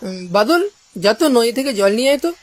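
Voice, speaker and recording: A woman's voice: a little high, lively intonation, quick pace, lightly acted. A woman voicing a bossy young female friend in a cartoon who scolds the others. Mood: scolding. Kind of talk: cartoon voice acting.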